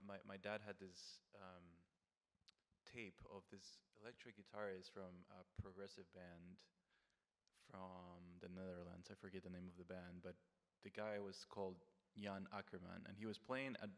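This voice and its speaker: A man's voice: deep voice, vocal fry, a bit bright. A man who grew up in Peru, answering interview questions on a panel in a hesitant, reflective way with frequent restarts. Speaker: early music performer, guest. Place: concert hall stage, live event. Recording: long reverb of a concert hall.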